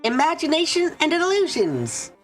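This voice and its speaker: Female actor as trusting nine-year-old girl, high and lively, stubbornly earnest, visual novel dub. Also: normal speed, faint music behind. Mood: stubbornly defensive. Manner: earnest, insistent. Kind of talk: fan-dubbed visual novel acting.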